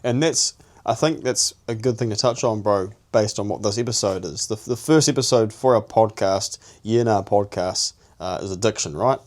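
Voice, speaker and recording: A man's voice: fairly low pitch, moderate pace, few pauses, darkish tone. A young New Zealand man co-hosting a podcast, chatting casually and animatedly, laughing often and talking in slang. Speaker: podcast co-host. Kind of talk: casual chat.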